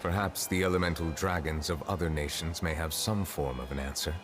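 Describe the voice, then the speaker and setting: Deep, solemn dragon judge. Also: male voice. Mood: grave. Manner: formal, measured, reflective. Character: ancient dragon in human form, solemn male chief justice. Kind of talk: video game dialogue. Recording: game dialogue with music behind.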